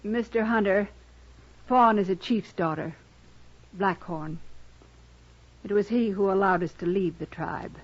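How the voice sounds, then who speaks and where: Woman acting frontier mother, American, medium pitch, guarded, courteous, restrained, muffled 1950s radio western. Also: unhurried, pauses often, lightly acted. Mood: resigned, dignified. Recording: dull, muffled vintage radio recording.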